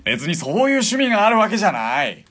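An actor playing a hot-tempered, mocking killer and ex-gang boss in an audio drama, with rough slangy speech. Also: male voice, sits high, very animated, quick pace, pushed and bright, exaggerated voice. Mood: dry banter.

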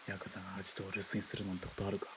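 A man playing a blunt, cynical hired killer in a 1920s Japanese radio drama, talking in rough, casual masculine speech. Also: low voice, monotone, rapid-fire, background noise, close to an everyday voice. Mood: wry, wary.

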